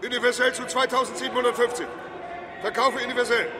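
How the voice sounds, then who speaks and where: Relentless floor seller, extremely high repetitive cries, distant, echoing hall, radio play. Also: male voice, little pitch movement, quick pace, crowd noise. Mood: insistent. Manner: loud, repetitive calling. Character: relentless stock-exchange seller crying out falling prices. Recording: far off in echoing hall, music behind.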